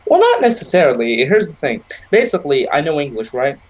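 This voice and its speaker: A young man whose first language is Arabic, chatting casually on a podcast. His voice sits a little higher than most men's and he rambles, tossing in "you know" all the time. The recording is dull and muffled. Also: huge pitch swings, a little fast. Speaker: podcast co-host. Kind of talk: casual chat.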